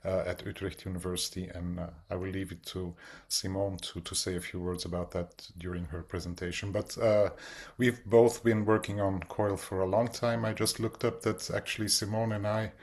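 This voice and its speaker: A man's voice: deep voice, flat delivery, normal speed, a little mellow. A man moderating a webinar over a video call, introducing the program and speaker with many hesitant uhs. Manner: hesitant, frequent "uh" fillers.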